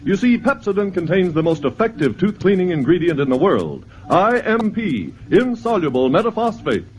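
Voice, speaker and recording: A man's voice: high-pitched, very animated, normal speed, a little hiss or hum, rough recording. A male radio announcer delivering a scripted advertisement in 1950s style. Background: music.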